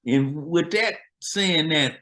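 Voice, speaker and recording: A man's voice: fairly high pitch, slow, fairly bright tone. An older man, a musician guest, casually chatting and retelling old stories in a laid-back, slangy way. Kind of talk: casual chat, storytelling.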